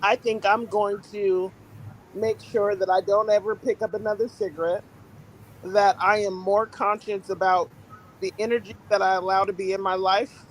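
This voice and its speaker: A woman's voice: mid-range voice, level tone, normal speed, slight background noise, poor audio. A woman of fifty speaking earnestly in a casual conversation.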